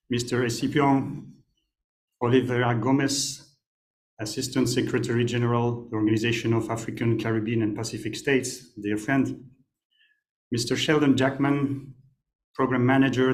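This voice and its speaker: A man opens a formal address at a regional meeting. His voice is mid-pitched and plain. He works through the dignitaries' titles in a steady, ceremonial way. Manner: measured, listing dignitaries formally. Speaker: development officer addressing a council. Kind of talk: formal speech with protocol greetings.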